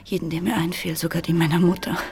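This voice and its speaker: A woman's voice: on the low side, little pitch movement, quick pace, gravelly, darkish tone. Battered, desperate woman in radio drama. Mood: despairing, intimate. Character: battered, desperate, bitter, seductive wife of an accused assassin. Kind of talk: German radio crime drama acting.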